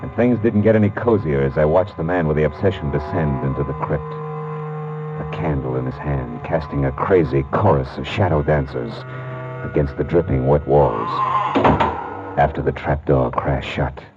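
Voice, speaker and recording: An actor playing a wry, cynical private detective, narrating in terse 1950s radio noir style. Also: male voice, a bass voice, lively intonation, moderate pace, loud music behind the voice. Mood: tense, wry. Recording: dull 1950s radio recording.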